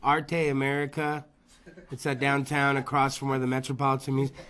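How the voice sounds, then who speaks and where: A man hosting a podcast interview, with loose, joking, profane chat and quick questions. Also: mid-range voice, monotone, fairly bright tone. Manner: loose, swearing, jokey, asks quick questions. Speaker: podcast host.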